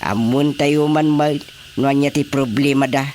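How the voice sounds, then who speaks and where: A man's voice: mid-range voice, fairly even intonation, unhurried, darkish tone, slight background noise, natural voice. A man playing an inquisitive, helpful village official in an Ilocano radio drama comedy. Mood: concerned. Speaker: barangay official.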